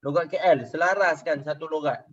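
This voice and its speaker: Malaysian guy teaching kids online, fairly high voice, keeps asking if they get it, muffled call audio. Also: brisk. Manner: patient, repeats questions, checks understanding. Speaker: religious teacher. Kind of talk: online class teaching children. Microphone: video call.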